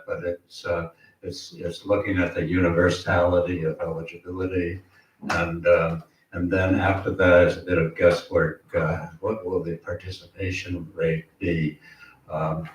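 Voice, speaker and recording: A man on a legislators' panel, answering a question at a public forum in a measured, halting way with many pauses. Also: sits low, level tone. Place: community meeting hall. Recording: roomy hall, distant mic, faint background noise.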